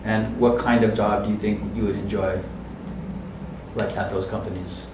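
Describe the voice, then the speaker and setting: A man interviewing a student, patient and soft-spoken with gentle murmured backchannels. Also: lowish voice, fairly even intonation, normal speed, noisy background. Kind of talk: casual interview. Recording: roomy, distant mic.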